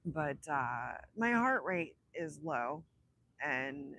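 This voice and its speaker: A young woman with a raspy voice from illness, chatting casually on a livestream, rambling and laughing between thoughts. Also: low voice, very animated, extremely slow, bright, forceful tone. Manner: rambling, self-interrupting, laughs often. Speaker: livestream host. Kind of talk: casual live chat with viewers.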